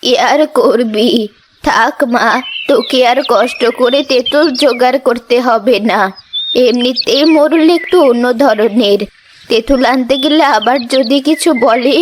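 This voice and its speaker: A woman's voice: fairly high pitch, unhurried, background noise, natural voice. A woman voicing a poor, kind old village mother in a cartoon story, tender and plaintive, over music. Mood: wistful, resigned. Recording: music behind the voice.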